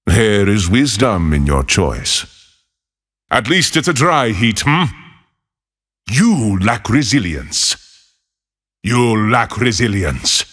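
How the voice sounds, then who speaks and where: An actor playing a fiery exiled male desert wanderer for a game, with a gravelly, husky voice and a slow, solemn delivery with dry wit. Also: on the low side, sing-song, a bit bright, in a large hall, heavily acted. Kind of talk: game voice lines.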